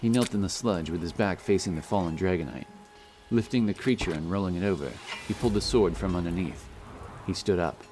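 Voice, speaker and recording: A man's voice: low-pitched, fairly quick, slight background noise. A man reading scripted fantasy narration as a dramatic storyteller. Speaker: audiobook narrator. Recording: loud music behind the voice.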